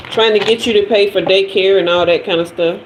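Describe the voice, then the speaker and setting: Woman in her fifties, tarot livestream host, slangy casual chat, mid-pitched voice, echoey room, faint hiss. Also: fairly even intonation, fairly quick. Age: 50s. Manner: loose, chatty, slangy and conversational. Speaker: tarot reader hosting a livestream. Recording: roomy echo with faint noise.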